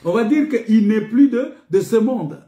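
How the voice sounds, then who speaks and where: High-pitched male preacher in an echoey room. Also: expressive, measured pace, mellow. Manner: emphatic, repetitive, didactic with rhetorical questions. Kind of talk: sermon, teaching scripture.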